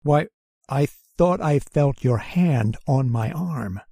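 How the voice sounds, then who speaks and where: An actor playing a brave, reassuring explorer in a horror radio drama, speaking quietly and steadily with some hesitation. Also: male voice, medium pitch, animated, slow pace, vocal fry, husky, no room sound, exaggerated voice. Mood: growing unease.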